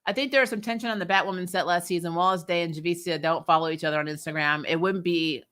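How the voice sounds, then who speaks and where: A woman's voice: on the low side, fast talker. A woman hosting a fan recap show, giving casual, opinionated TV commentary in a blunt, sweary way. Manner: blunt, sweary, animated mock-quoting.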